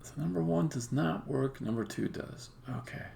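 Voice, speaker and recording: A man talking casually to himself in halting mutters, thinking aloud as he live-codes on a stream. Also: mid-range voice, gravelly. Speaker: game developer live-streaming a coding session. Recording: faint background noise, typing and clicks. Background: computer keyboard typing and mouse clicks.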